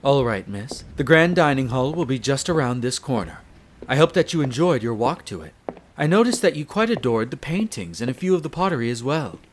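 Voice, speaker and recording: A man's voice: mid-range voice, big pitch swings, fairly quick, slight background noise, natural voice. A man playing a courteous, devoted head servant of a vampire castle in a roleplay audio drama, speaking with formal, polite diction. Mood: polite, attentive. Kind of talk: scripted roleplay audio drama, addressing the listener.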